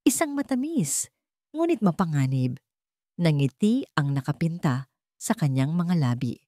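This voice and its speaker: A woman's voice: low voice, big pitch swings, measured pace, warm tone, dry recording, studio quality. A woman reading a dramatic scripted story as its narrator.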